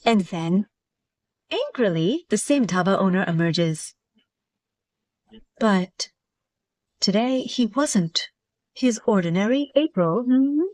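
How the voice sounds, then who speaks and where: Unhurried female story narrator. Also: medium pitch, animated, a little mellow, dry recording, recorded from a distance. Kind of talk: scripted moral story narration.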